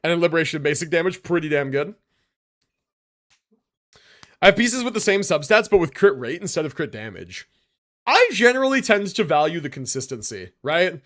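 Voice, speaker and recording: A man with a fairly high voice and very lively, swinging intonation gives casual livestream commentary. He thinks aloud as he goes, slipping easily between reading out numbers and slangy remarks to viewers. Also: a little fast, long pauses. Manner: relaxed slangy talk, thinking aloud, reading stats and chat aloud. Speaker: livestream host reviewing game builds.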